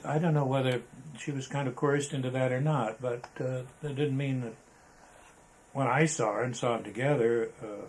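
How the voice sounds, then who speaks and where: An older American man reminiscing casually in an interview, halting and rambling as he talks. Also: medium pitch, steady tone, darkish tone, slight background noise, low-quality recording. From Arizona, United States. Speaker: interviewee, veteran photographer. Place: indoors, photographer's studio.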